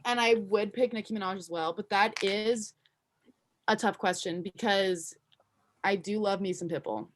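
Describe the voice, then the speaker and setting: A woman's voice: medium pitch, normal speed, slight background noise. A young woman hosting a casual college podcast in an upbeat, friendly way. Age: young adult. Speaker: podcast host. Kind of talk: casual chat.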